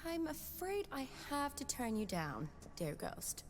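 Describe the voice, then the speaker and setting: Unhurried, teasing young lady, echoing. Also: high-pitched, big pitch swings, faint music behind, heavily acted. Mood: amused. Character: amused, gently teasing young woman. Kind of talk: video game character acting. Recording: long echoing reverb, faint noise.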